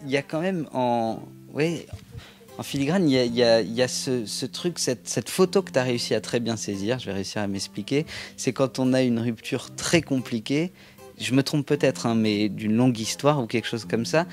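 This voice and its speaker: A man in a mid-range voice hosts the interview, effusive and chatty. His questions ramble on at length, and music plays clearly behind him. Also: mid-range voice, moderate pace. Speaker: interviewer and host. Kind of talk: enthusiastic casual interview.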